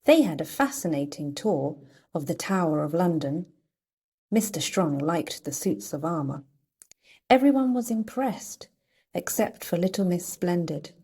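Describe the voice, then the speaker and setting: A woman reading a children's story aloud in a playful, deliberate storytelling manner. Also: lowish voice, expressive, dark tone, slightly rough. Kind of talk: read-aloud storybook narration.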